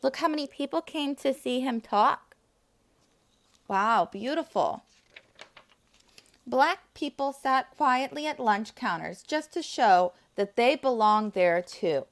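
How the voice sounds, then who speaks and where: A woman's voice: high voice, expressive, takes it slowly. A woman preschool teacher reading aloud to young children, enthusiastic, with friendly asides to her little listeners.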